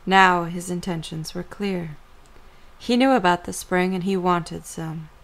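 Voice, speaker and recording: A woman's voice: lowish voice, unhurried, poor audio. A woman reading scripted story narration for an audio drama as the narrator.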